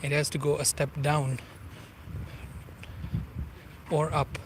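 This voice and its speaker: Man, 40s, non-native English speaker, casual walking commentary, gropes for words, slightly high voice, faint outdoor traffic noise. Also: flat delivery, moderate pace. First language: not English. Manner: rambling, searches for words. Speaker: walking vlogger. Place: outdoors by a river.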